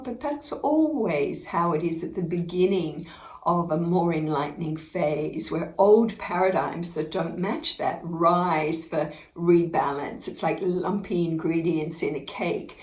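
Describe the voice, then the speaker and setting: A woman, a spiritual teacher, telling inspirational stories about her own life in an unhurried, earnest way, often breaking into laughter. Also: fairly low pitch, a little mellow, reverberant, rough recording. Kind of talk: inspirational personal storytelling to camera.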